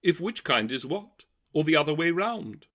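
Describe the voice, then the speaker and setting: Man voicing haughty storybook creature, swooping pitch. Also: big pitch swings, moderate pace, bright, forceful tone, exaggerated voice. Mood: dismayed indignation. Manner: haughty, exclamatory. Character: snooty boastful bird-like creatures, haughty and indignant.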